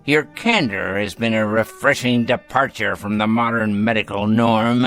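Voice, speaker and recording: A man's voice: on the low side, moderate pace, fairly bright tone, faint music behind. An actor playing an elderly, dying rich man in a radio drama, cantankerous and sardonic, with florid speech. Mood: wry, probing.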